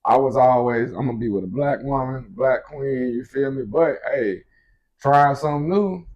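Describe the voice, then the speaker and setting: A man's voice: medium pitch, normal speed, lo-fi sound. A man in his twenties chatting casually in a couple's Q&A video, loose and easygoing, full of fillers. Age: 20s. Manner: laid-back, frequent fillers like "you feel me". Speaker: vlogger, expecting father.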